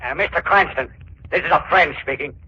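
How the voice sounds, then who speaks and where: An actor playing a sly waterfront crook and informant, with colloquial talk, in 1940s radio thriller style. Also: male voice, on the high side, fairly quick, pushed and bright, slightly rough, exaggerated voice. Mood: sly, insinuating. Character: shady waterfront underworld informant called Freddy the Frog. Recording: dull, noisy 1940s radio recording.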